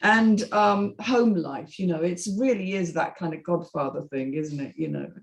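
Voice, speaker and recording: A woman's voice: fairly low pitch, very animated, normal speed. A British woman hosting a casual panel discussion, talking thoughtfully and conversationally, often seeking agreement. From Britain. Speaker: host of a script reading panel.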